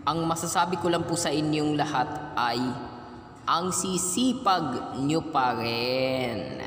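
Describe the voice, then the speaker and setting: A man with a Filipino accent giving a thank-you speech as a company chief, halting and hesitant with frequent fillers. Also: on the high side, lively intonation, a bit bright. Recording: echoey hall with music behind.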